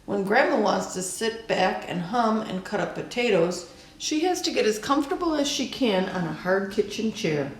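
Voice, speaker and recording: A woman reading a children's picture book aloud in a deliberate, expressive storytelling style. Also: medium pitch, roomy sound, poor audio. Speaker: storybook reader.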